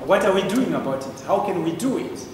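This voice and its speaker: A man's voice: sits high, animated, a little fast. A man speaking off the cuff at a meeting, exhorting with rhetorical questions. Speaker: panel speaker. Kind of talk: impromptu public speech. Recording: echoey hall, faint noise.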